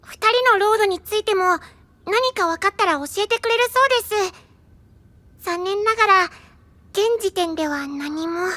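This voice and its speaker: A woman's voice: very high voice, measured pace, pushed and bright, no echo, exaggerated voice. A woman voicing a sweet, earnest young boy knight in an anime-style voice drama, speaking courteously. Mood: earnest, polite. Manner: courteous, deferential speech.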